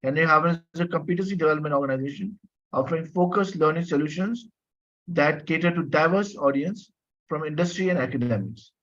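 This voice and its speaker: A man's voice: fairly high pitch, fairly quick, fairly bright tone. A man teaching a French class online, patiently explaining phrases, repeating himself and asking students questions. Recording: dull lo-fi audio.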